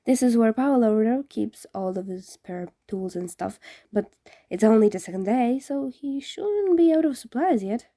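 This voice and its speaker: A woman voicing a friendly teenage ghost boy in a storybook reading, easily confused and indignant, with animated casual teen talk. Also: fairly high pitch, unhurried, dry recording, studio quality.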